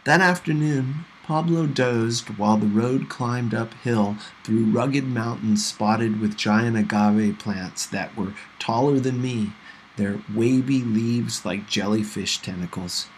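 A man reading a novel aloud in an expressive storytelling style. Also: mid-range voice, slow pace, slight background noise, rough recording. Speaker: audiobook reader.

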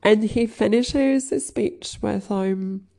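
Sniffly woman, slow and gravelly. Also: medium pitch, mellow. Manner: halting, many fillers, keeps clearing throat. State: sniffly from crying. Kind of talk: casual rambling chat.

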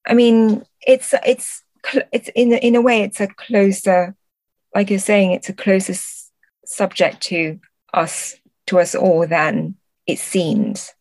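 A woman's voice: medium pitch, slow pace, a little mellow, no room sound. A woman answering as a podcast guest in a thoughtful conversational way, often searching for words and correcting herself. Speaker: podcast guest, translator and book editor.

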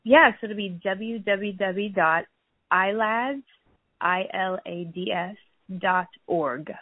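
Podcast guest, woman, hoarse and giggly, dry phone-line sound. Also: mid-range voice, slow, dry recording. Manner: giggly, says she is losing her voice. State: hoarse, clearing throat. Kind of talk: casual interview chat.

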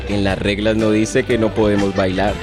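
A man's voice: fairly low pitch, level tone, normal speed, over music, natural voice. A man playing a commanding guard in a radio play, speaking emphatically. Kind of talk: radio theatre acting.

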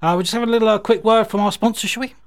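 British male radio presenter, rapid delivery, slightly high pitch, casual, effusive, enthusiastic music-show chat. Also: fairly even intonation. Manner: chatty, effusive, with repeated emphatic praise.

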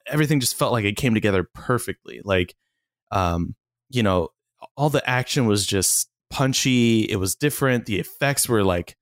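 A man's voice: on the low side, no echo, clean studio sound. A man co-hosting a casual movie review chat, laid-back and full of fillers, laughing often.

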